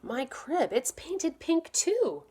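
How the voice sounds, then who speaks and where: A woman's voice: high voice, sing-song, slow, dark tone, voice pushed up, full character voice. A woman reading the part of an indignant, eager young boy in a picture book, in a plain, emphatic childlike manner.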